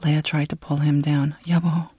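A narrator reading literary fiction in a measured, dramatic storytelling way. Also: mid-range voice, fairly even intonation, dark tone, no room sound. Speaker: audiobook narrator.

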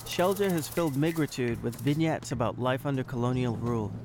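A man narrating a scripted news feature in an even, informative way. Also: mid-range voice, fairly quick, noisy background.

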